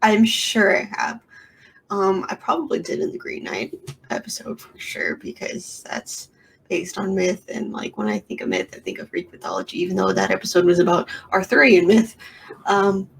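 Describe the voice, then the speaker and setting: A woman's voice: mid-range voice, very animated, normal speed, slight background noise, rough recording. A woman hosting a casual solo podcast from her bedroom, rambling with lots of fillers and restarts into a sensitive microphone. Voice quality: raspy. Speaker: podcast host. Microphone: sensitive studio microphone.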